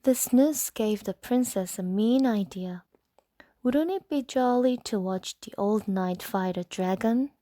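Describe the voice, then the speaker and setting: A woman gently and expressively reading a storybook aloud in a soft bedtime style. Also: medium pitch, measured pace, no room sound, studio quality.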